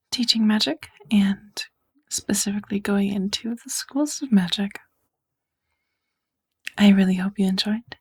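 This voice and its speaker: A woman who creates ASMR audio, addressing her listeners in a soft, breathy whisper. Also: mid-range voice. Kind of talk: whispered ASMR channel outro.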